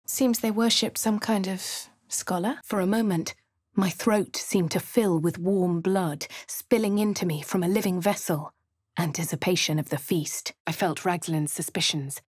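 Posh english lady adventurer, lowish voice, dead dry booth sound. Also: a little slow, slightly rough, no room sound. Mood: brooding. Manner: cycles between quiet inner narration, hushed whispers and shouted spell incantations. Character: posh young female adventurer narrating her thoughts. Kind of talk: game voice lines.

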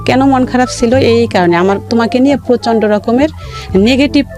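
High-voiced Bangladeshi woman over music. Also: a little fast, a little mellow. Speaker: guest telling own life story. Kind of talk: personal storytelling in an interview. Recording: music playing behind the voice. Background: background music.

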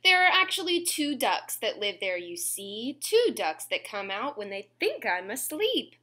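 A young woman reads a children's picture book aloud in a small room at home. Her voice is high and bright, with lively swings of pitch. She phrases each line clearly and expressively for little listeners, taking her time. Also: a little slow. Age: 20s. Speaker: children's story reader.

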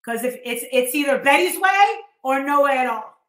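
A woman's voice: sits high, lively intonation, moderate pace, echoey room. A middle-aged American woman ranting casually on a livestream in a blunt, scornful, sweary manner. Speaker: YouTube host. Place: living room at home, indoors.

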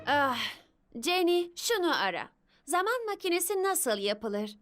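Young cartoon girl, high pitch, confident, eager, expressive, music behind. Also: measured pace, exaggerated voice. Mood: determined. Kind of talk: animated children's cartoon dub.

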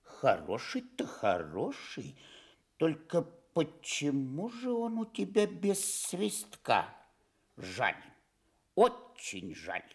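An actor playing a pompous, fault-finding male stork in a Soviet radio fairy tale, drawing out his words in a husky voice. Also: on the high side, wildly swooping pitch, exaggerated voice. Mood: pompous, disapproving. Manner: drawn-out, pompous. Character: fussy, self-important male stork, grumbling critic.

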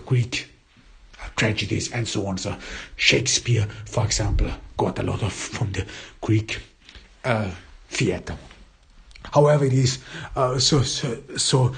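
A young man from Austria, a native German speaker, hosting a solo podcast in a hesitant, halting way with many restarts. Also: mid-range voice, vocal fry, breathy edge. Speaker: podcast host.

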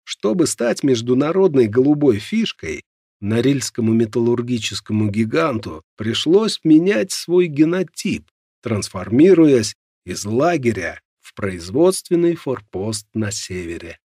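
Male narrator, gravelly, lively intonation, measured audiobook reading, close dry mic. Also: mid-range voice, no room sound. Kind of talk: scripted audiobook narration of non-fiction.

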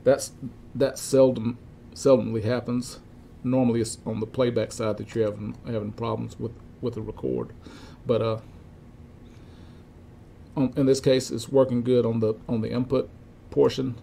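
Older male, gravelly, relaxed delivery; halting, methodical how-to explainer, informal, with some background room noise. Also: mid-range voice. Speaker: repair technician demonstrating equipment checks. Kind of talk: informal instructional demonstration. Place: cluttered indoor electronics workshop.

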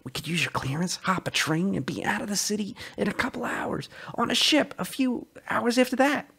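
A man's voice: high-pitched, a little fast, vocal fry, bright, forceful tone, slightly rough, voice pushed up, full character voice. A man voicing a desperate fugitive who pleads with raised, strained urgency. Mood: urgent.

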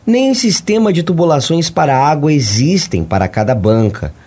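A man's voice: on the high side, lively intonation, normal speed, warm tone, slightly rough. A Brazilian man reading a scripted voice-over for a TV news report.

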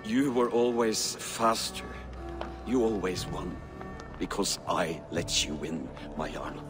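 Slow, earnest Viking warrior. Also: male voice, sits low, fairly even intonation, over loud music. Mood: resigned, sorrowful. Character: loyal, pleading Viking warrior, old friend of jarl. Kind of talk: dramatic video game cutscene acting.

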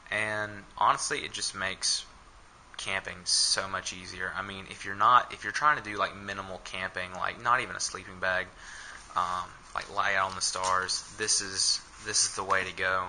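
A man's voice: low-pitched, level tone, normal speed, bright, forceful tone, slight background noise, rough recording. A young man filming himself indoors with a head-mounted camera, casually walking through his camping gear with many ums and uhs. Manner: hesitant, many fillers. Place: small indoor room.

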